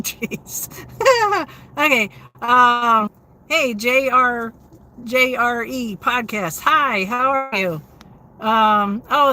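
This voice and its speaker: A woman hosts a relaxed livestream, chatting with viewers and answering their comments. Her voice sits a little high and sounds bright and forward. She keeps a steady, fairly flat delivery dotted with ums. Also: rough recording. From New England. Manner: steady, low-inflection delivery with frequent ums. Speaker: livestream host. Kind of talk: casual livestream chat, reading viewer comments.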